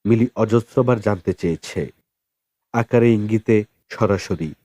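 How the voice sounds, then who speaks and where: A man narrating a novel as an audiobook in a dramatic, expressive storytelling style with deliberate pauses. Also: on the low side, no room sound, clean studio sound.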